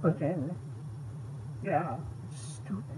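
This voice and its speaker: Brief: grumbling old person, whiny, slow, a young man putting it on. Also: on the high side, noisy background, pitched above the performer's own voice.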